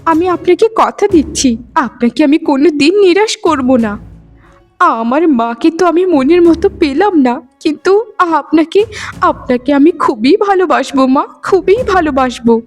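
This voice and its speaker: A high, youthful female cartoon voice acted by a woman plays a rejected young bride. She is meek and self-pitying, pleading in repeated phrases. Music plays behind her. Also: lively intonation, normal speed, a little mellow. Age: young adult. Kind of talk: Bengali cartoon dubbing, melodrama. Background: background music.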